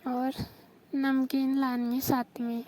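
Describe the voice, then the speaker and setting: This is a high-voiced woman from Rajasthan speaking over a recorded phone call. She teases and bargains in a coy, playful way, but her pitch barely moves. A faint hiss sits under the line. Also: little pitch movement, slow, darkish tone. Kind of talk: staged flirtatious phone call.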